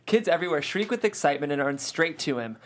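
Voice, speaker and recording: Narrator for screenplay table read: animated storyteller, medium pitch, very lively intonation. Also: brisk. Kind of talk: reading script stage directions aloud.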